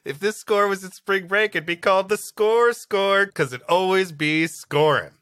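A man's voice: sits high, normal speed, fairly bright tone, no room sound, clean studio sound. A man co-hosting a comedy podcast, bantering and reading stories. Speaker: podcast co-host. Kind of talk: comic banter and story reading.

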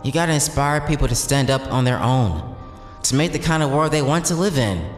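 A man's voice: mid-range voice, expressive, a little fast, close to an everyday voice. Man acting a streetwise young vigilante, echoey. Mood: relaxed, sincere. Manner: casual, earnest, streetwise. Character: bold, idealistic young male vigilante, streetwise and teasing. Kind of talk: audio drama acting. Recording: echoey reverberant hall, music underneath.

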